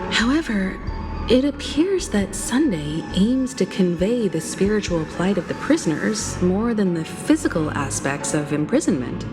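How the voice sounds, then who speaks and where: An actress playing a calm, thoughtful companion in a game, composed and articulate. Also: female voice, mid-range voice, a little slow, music in the background.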